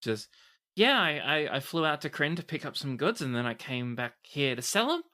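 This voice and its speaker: A game master plays a halfling woman alchemist from a tabletop game, blunt but kindly. Her talk is chatty and folksy, full of exclamations, with a bright tone and animated, swooping pitch. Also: moderate pace. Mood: chatty, grumbling.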